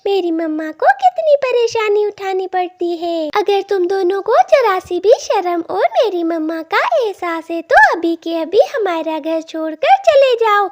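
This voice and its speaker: A woman voicing a jealous, spiteful young female bird chick in a cartoon, with a squeaky childlike voice and a sulky, scolding manner. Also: expressive, unhurried, hardly any pauses, a little hiss or hum. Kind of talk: cartoon voice acting.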